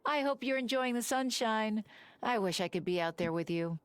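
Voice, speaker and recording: A woman's voice: a little high, expressive, moderate pace, clean tone, dry recording, close to an everyday voice. A woman playing a weary, wistful, kindly townswoman in a video game.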